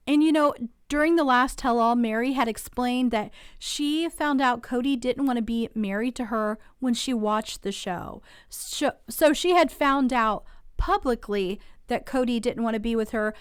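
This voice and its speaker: A woman's voice: a little high, clean tone, no room sound, clean studio sound. A woman hosting a casual TV recap channel who is getting over a cold, talking in a chatty, opinionated way and asking viewers to weigh in.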